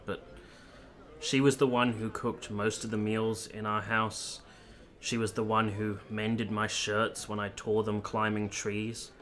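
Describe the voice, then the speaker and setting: A man's voice: on the low side, fairly bright tone. A man playing an earnest, devoted, principled army captain in a period radio drama, speaking formally and sincerely.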